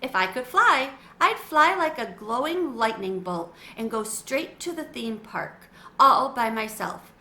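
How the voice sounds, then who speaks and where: An exasperated big brother from a children's book, played by a woman. His voice is bright and high. It sounds in an echoey room with a faint hiss behind it. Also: big pitch swings, a little slow, exaggerated voice. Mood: dreamy. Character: annoyed older boy, big brother.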